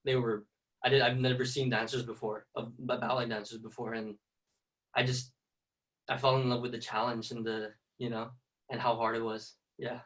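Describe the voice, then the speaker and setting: Young Filipino dancer, even-toned and unhurried, slightly distant from the mic. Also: male voice, medium pitch. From Philippines. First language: Tagalog. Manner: soft-spoken, many fillers and "you know". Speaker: ballet dancer, guest. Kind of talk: casual interview.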